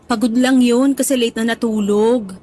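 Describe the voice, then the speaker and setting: A woman's voice: a little high, steady tone, normal speed, warm tone, close to an everyday voice. A woman with a Filipino accent acting an affectionate middle-aged mother, motherly and coaxing, in a radio drama. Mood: soothing. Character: affectionate, soothing Filipino mother of grown sons.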